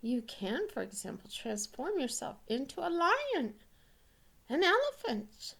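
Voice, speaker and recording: A woman reading a storybook aloud, voicing a flattering, sly and courteous male cat. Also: high-pitched, a little slow, vocal fry, pitched above the performer's own voice, full character voice. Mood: flattering, coaxing.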